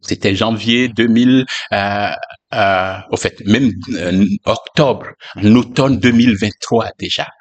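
A man, a pastor, sharing a personal testimony in a reflective, hesitant way with frequent pauses and fillers. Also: lowish voice, highs cut off.

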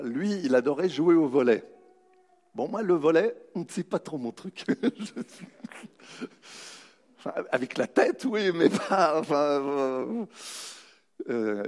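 A man giving a humorous, anecdotal motivational talk, telling his story with dramatic pauses. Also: mid-range voice, darkish tone, husky. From raised bilingual French-German, in a French-German family. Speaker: motivational speaker. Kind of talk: anecdotal public talk to an audience.

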